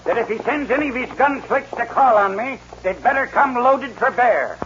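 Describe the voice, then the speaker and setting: An actor playing a defiant, honest homesteader in a 1940s radio western, talking bluntly and firmly. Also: male voice, very high voice, lively intonation, moderate pace. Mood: defiant, stern. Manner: firm, blunt Western drawl. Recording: dull, faintly noisy 1940s radio recording.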